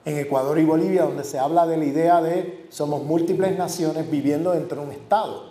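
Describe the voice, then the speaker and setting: Guy professor lecturing, kinda high voice, hammers points with lists, roomy echoey hall. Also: brisk, mellow. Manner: emphatic, repeats and lists words for stress. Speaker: university professor giving a lecture. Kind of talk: academic lecture.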